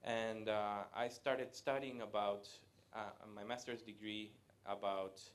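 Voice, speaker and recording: Man giving a low-pitched talk. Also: steady tone. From Latin America. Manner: conversational, pauses often with hesitation sounds. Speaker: guest speaker. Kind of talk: live presentation to an audience. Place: event room with a live audience.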